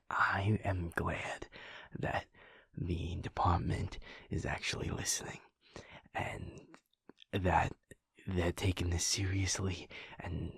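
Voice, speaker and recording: A man talking softly and hesitantly to a partner in an intimate audio roleplay, pausing with sighs and restarts. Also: low-pitched, gravelly, husky, dry recording, clean studio sound.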